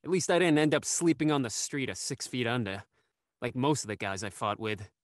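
A man acts out an embittered gardener back from war in a radio mystery. He is curt and blunt in a mid-pitched voice, recorded very dry. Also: animated, a little fast, no room sound, close to an everyday voice. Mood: bitter, resigned. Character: bitter, gruff working-class gardener and war veteran. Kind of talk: radio mystery acting, live online table read.

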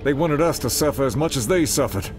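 An actor playing a grave, protective special forces squad member in a video game, earnest and concerned. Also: male voice, medium pitch, expressive, quick pace, soft background music, close to an everyday voice. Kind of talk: dramatic video game acting.

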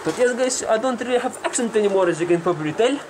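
A man's voice: sits high, expressive, racing. Man acting as cheeky young Slavic vlogger: Russian accent, broken English, rambling and repetitive, noisy handheld kitchen recording. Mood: rambling, amused. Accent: put-on Russian. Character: cheeky, foul-mouthed young Slavic man, comedic persona. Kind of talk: casual comedic vlog. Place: small home kitchen indoors. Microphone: handheld camera at arm's length. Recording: noisy handheld camera with clicks.

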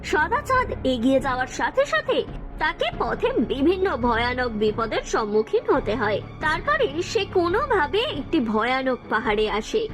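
A woman narrates an animated story from a script in a very high voice. Her intonation swings widely from line to line, and music plays clearly behind her. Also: moderate pace. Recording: music under the voice.

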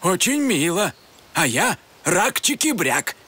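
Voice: medium pitch, very animated, slow pace, bright, forceful tone, husky, full character voice. An actor playing a timid, grumbly male crayfish in a cartoon, jittery and exclamatory. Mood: wary, friendly.

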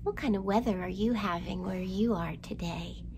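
A middle-aged woman hosting storytime for young children, with an expressive, sing-song delivery, recorded indoors a short way from the microphone. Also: medium pitch, normal speed, gravelly, background noise. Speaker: children's library storytime host. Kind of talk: storytime rhymes and picture book reading for young children. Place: indoors in a library room.

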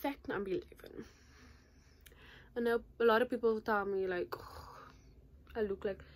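A woman's voice: medium pitch, measured pace. Chatty nineteen-year-old woman vlogging. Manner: chatty, animated, gestures a lot, says "like" constantly. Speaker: YouTuber. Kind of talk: casual to-camera vlog. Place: small bedroom in front of a patterned curtain. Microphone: phone or camera at arm's length.

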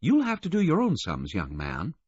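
A man voicing a prim, brisk and cheerful older woman relaying messages in an audiobook. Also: a little high, huge pitch swings, slightly rough, dry recording, dull audio, pitched above the performer's own voice, heavily acted. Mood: prim, chatty.

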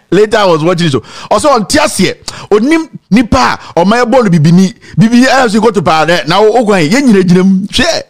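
Animated Ghanaian man, sarcastic commentary. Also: high-pitched. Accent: Ghanaian, mixing English and Twi. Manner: animated, sarcastic, breaks into laughter and sing-song mockery. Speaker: commentary show host. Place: indoor studio set.

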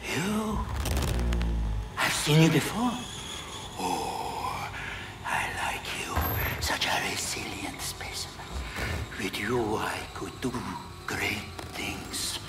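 An elderly German-accented villain scientist, savoring each word with theatrical courtesy and cruel glee. His slow, widely swinging delivery echoes in a chamber under loud game music. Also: on the low side, very animated, whispered, distant mic. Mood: musing menace. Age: older. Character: gleefully sadistic old German scientist general. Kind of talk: video game villain monologue.

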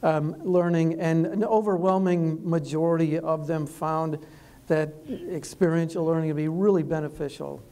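A moderator leads a college panel, sharing quick figures and handing the next question to a panelist. The voice sits at a middle pitch. It sounds mellow. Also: steady tone. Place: stage in a college auditorium.

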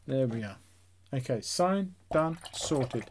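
A man's voice: medium pitch, lively intonation, slow, darkish tone, a little hiss or hum. A man in his sixties speaks in a mid-range voice as the host of a painting tutorial. His delivery is casual and chatty, addressing viewers directly in an encouraging, instructional way. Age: 60s.

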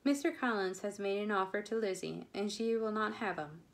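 A woman reading the part of an excitable, gossipy teenage girl in a novel, eager and gleeful. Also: mid-range voice, fairly quick, lightly acted.

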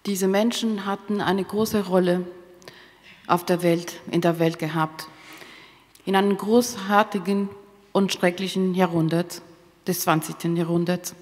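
A woman giving a solemn interpretation of a eulogy at a stand microphone, in careful, slightly halting short phrases. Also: on the low side, steady tone, a little mellow. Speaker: consecutive interpreter. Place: theatre stage. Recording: reverberant large hall.

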